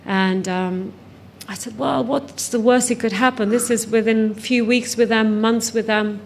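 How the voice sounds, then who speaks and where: Higher-voiced woman giving personal testimony. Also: fairly even intonation, unhurried, gravelly. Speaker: guest speaker, trafficking survivor and author. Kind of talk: personal testimony told to a conference audience.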